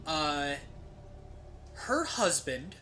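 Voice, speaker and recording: A streamer telling a story casually and comedically while gaming, with profanity, dramatic pauses and rhetorical tags. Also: medium pitch, big pitch swings, very noisy. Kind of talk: casual comedic storytelling during game play.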